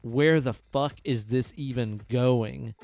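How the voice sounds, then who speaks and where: A man with a quiet voice co-hosting a podcast, talking casually about a movie and swearing freely. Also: mid-range voice, creaky, faint music behind. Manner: relaxed, swears casually, drawn-out emphasis. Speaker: podcast co-host.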